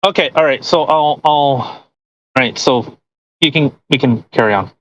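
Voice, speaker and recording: A podcast co-host reading a post aloud with casual, mocking commentary, sarcastic and quick to swear and interject. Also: mid-range voice, fairly bright tone, a little hiss or hum, rough recording.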